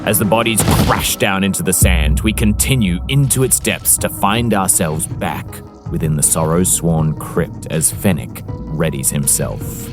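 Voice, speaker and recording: Deep-voiced man narrating a fantasy game over music. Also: a little slow. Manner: theatrical, descriptive storytelling. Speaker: game master. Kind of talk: dramatic tabletop game narration.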